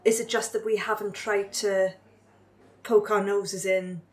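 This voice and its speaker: A woman improvises as a curious winged forest-dweller in a roleplaying game. She is forthright and questioning, with a matter-of-fact delivery at an ordinary female pitch. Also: flat delivery, measured pace, close to an everyday voice. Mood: inquisitive.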